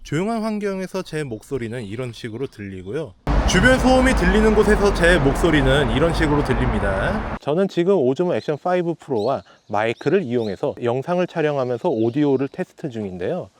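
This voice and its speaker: A man's voice: fairly high pitch, very animated, fairly quick, few pauses, background noise. A man who hosts reviews, reciting a scripted test narration into an action camera. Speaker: tech review channel host.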